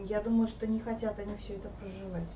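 A woman in her thirties, a guest on a sofa in a living room, talking in a thoughtful, measured way, recorded by a camera across the room. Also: medium pitch, fairly even intonation. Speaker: guest, fairy-tale therapist. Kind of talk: casual conversation. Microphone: fixed camera across the room. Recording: echoey room, mic at a distance, faint hiss.

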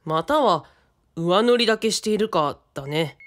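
Radio drama youth, male role voiced by a woman: earnest, polite, matter-of-fact, with animated intonation. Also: lowish voice, moderate pace, natural voice. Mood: thoughtful.

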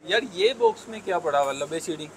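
A man's voice: fairly high pitch, fast, rough recording. A young man from Pakistan with a Lahori Urdu accent, touring a bakery for his food vlog, chatty and keen, often saying "yaar". He wears a lapel mic in a busy shop. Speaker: food vlogger. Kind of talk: casual food vlog walkthrough. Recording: crowd chatter and traffic behind.

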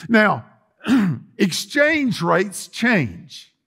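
A man's voice: sits high, huge pitch swings, very slow, fairly bright tone, husky. A middle-aged man preaching as a pastor in a church, explaining things conversationally and putting questions to his listeners. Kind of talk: sermon, conversational teaching. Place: church sanctuary, indoors.

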